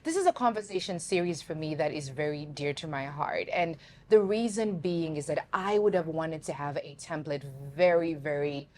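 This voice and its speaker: A woman from Uganda hosting a casual interview, lively and laughing, calling her viewers family. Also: low voice, normal speed. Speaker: host.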